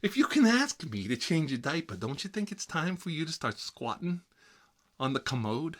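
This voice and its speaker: A man's voice: fairly high pitch, very animated, normal speed, a bit bright. A man playing a whiny, foul-mouthed grown son who lives with his mother, complaining and rambling in a comedy sketch. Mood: grumbling. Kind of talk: improvised comedy roleplay sketch.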